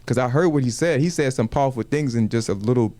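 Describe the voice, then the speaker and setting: A man whose first language is Wolof talks through his point in a measured way. He keeps asking rhetorical questions and checking in with the others. The sound is dry and close, with little room echo. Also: medium pitch, warm tone. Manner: measured, asks rhetorical questions, says "you know what I'm saying". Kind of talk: casual panel discussion. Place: community media studio.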